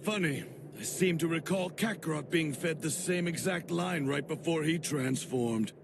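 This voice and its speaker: An actor voicing a proud, menacing, taunting alien warrior in an anime dub. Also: male voice, a little high, moderate pace, creaky, noisy background. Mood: menacing, mocking. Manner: taunting, bombastic. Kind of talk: dubbed anime acting. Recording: loud music over anime clip.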